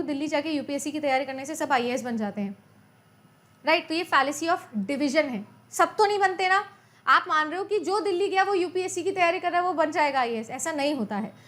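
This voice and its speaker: A woman's voice: high voice, fairly bright tone. A woman teaching an exam-prep lecture in a chatty way, often repeating her points and asking her students questions as she goes. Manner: mixes Hindi and English terms.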